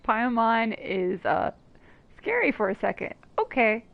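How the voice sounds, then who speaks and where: Girl gamer reacting casually, kinda high voice, teasing, game music in back. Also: very animated, slow, vocal fry, dull audio. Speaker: gaming video commentator. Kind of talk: casual playthrough commentary.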